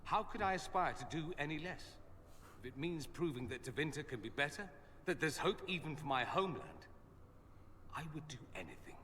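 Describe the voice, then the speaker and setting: A man's voice: a little high, very animated, a little fast, sharp tone, long reverb tail, a little hiss or hum. A man playing a suave, earnest mage in a video game, articulate and reflective. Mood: earnest, hopeful. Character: suave, earnest adult male mage, homesick idealist. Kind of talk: video game character dialogue.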